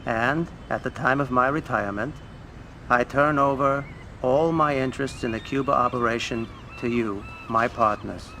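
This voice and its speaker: Hyman Roth, bright-voiced old crime boss. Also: medium pitch, slight background noise. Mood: proud, stately. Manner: measured, speechmaking. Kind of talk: video game cutscene acting.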